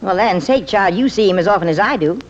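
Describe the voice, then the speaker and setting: A woman's voice: medium pitch, expressive, quick pace, lightly acted. An American actress playing a plain-spoken, good-humored older woman with a folksy manner in an old radio comedy. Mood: brisk, folksy. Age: middle-aged or older. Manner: folksy, blunt, chuckling. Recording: muffled old-time radio recording.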